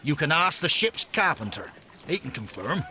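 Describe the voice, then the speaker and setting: A proud, indignant sea captain in a period game cutscene. His voice is fairly high and forceful, swinging widely as he challenges in formal, blustering phrases. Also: very animated, moderate pace, a little hiss or hum, heavily acted. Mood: defiant. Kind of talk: video game cutscene acting.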